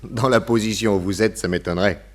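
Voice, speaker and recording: An actor playing a self-assured, amused detective with a theatrical, teasing manner in a French radio detective play. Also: male voice, fairly low pitch, fairly even intonation, fairly quick, natural voice. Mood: mocking, confident. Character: cool, amused male detective posing as a gentleman thief.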